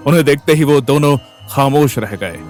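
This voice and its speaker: A man's voice: fairly high pitch, moderate pace, soft background music. A man reading scripted narration for an audio story.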